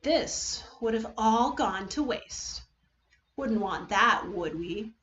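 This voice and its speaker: A woman's voice: fairly high pitch, animated, a bit bright, echoey room, muffled recording, a little hiss or hum. Woman playing a taunting, wicked teenage trickster boy. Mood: gleeful taunting. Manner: taunting, drawn-out teasing delivery.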